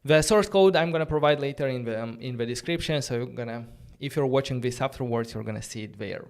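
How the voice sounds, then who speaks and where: A man's voice: medium pitch, fast talker. Casual Romanian man teaching code live. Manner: casual, thinking aloud with many fillers and restarts; reads and answers viewer chat questions. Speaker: coding instructor. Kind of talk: live-streamed coding tutorial.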